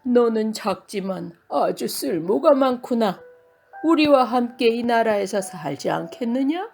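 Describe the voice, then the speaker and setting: A stately giant king from a storybook, acted by a woman narrator. The delivery is formal and pompous, with a creaky edge and expressive swells over faint music. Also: a little high, a little slow. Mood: pleased, stately. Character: dignified, stern male king of giants.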